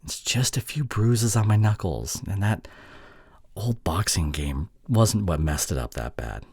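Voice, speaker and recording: A young man playing a sheepish, affectionate boyfriend in an intimate audio roleplay, soft and halting, with breathy drops toward a whisper. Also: fairly low pitch, animated, no room sound, studio quality, exaggerated voice. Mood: sheepish, defensive. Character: sheepish, affectionate young boyfriend apologizing to his long-distance partner.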